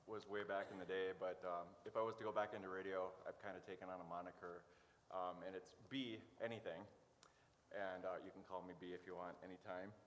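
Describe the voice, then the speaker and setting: A man being interviewed as a guest, speaking informally in a halting, hesitant way with many pauses. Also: deep voice, steady tone. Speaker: interview guest, church worker. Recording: echoey hall, noticeable background noise.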